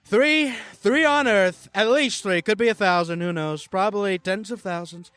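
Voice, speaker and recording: A man's voice: high-pitched, moderate pace, fairly bright tone, clean tone, soft background music. A man voicing a boastful, rambling populist presidential candidate in a parody campaign ad, full of folksy, repetitive asides. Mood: alarmist, scornful. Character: bragging, rambling male populist politician running for president.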